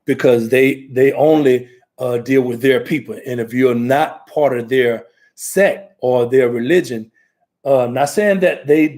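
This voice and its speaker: A man's voice: mid-range voice, a little mellow. Rambling conversational American man. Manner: rambling, conversational, many fillers and restarts. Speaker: talk show host, ordained minister. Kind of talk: casual talk show chat.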